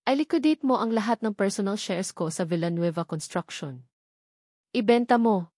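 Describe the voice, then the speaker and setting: A woman's voice: mid-range voice, expressive, moderate pace, a little mellow, no room sound, lightly acted. A commanding, wealthy businessman, played by a woman storyteller, speaks in firm, clipped orders. He sounds determined and used to authority, switching between Tagalog and English. The sound is close and dry, as in an audio story. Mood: firm, urgent. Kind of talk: dramatic audiobook character voice.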